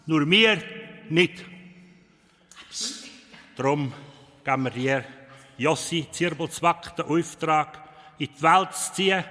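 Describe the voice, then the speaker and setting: A man's voice: high voice, pushed and bright. A man playing a solemn male dwarf elder in a children's radio play, proclaiming formally. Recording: long cavernous hall reverb.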